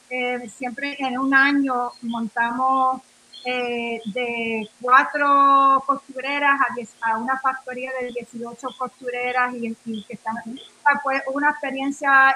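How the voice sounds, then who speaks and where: A woman's voice: high-pitched, level tone, unhurried, bright, forceful tone, low-quality recording. A Nuyorican woman from New York, a radio interview guest, telling her story in rambling Spanish full of fillers, restarts and English words. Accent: Nuyorican, Spanish mixed with English words. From New York, of Puerto Rican family. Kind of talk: casual interview talk.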